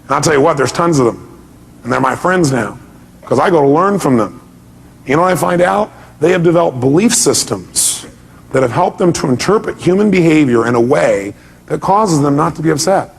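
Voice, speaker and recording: A man's voice: a little high, animated, brisk, vocal fry. A man giving a motivational seminar talk to an audience, speaking emphatically and pressing points with rhetorical questions.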